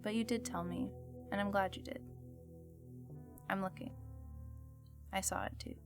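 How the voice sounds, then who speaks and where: Contemplative female host of an eerie radio drama, measured, gravelly fry, faint music bed. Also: medium pitch, steady tone. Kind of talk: scripted reflective fiction narration.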